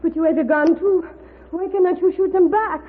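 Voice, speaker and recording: A woman's voice: extremely high pitch, brisk. An actress playing an anxious, wistful French-accented lady in a 1950s radio western, with hesitant, formal phrasing. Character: anxious, wistful foreign lady in the Old West. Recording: noisy, tinny 1950s radio recording.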